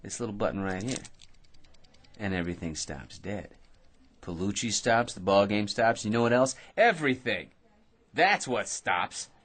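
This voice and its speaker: Cocky, excitable schemer, swinging pitch. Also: male voice, normal speed, slightly rough. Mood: boastful, persuasive. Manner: slangy, boastful, wheedling patter. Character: cocky, excitable working-class dreamer man with grand schemes. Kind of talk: old-time radio drama acting.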